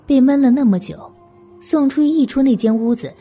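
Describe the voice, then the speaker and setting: A woman's voice: sits high, lively intonation, fairly quick, mellow, breathy edge, faint music behind. A woman reading scripted novel narration as an audiobook narrator.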